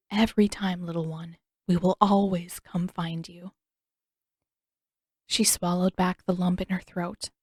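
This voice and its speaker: A woman's voice: mid-range voice, a little mellow, no room sound. A woman reading scripted story narration expressively, as an audiobook narrator close to the mic.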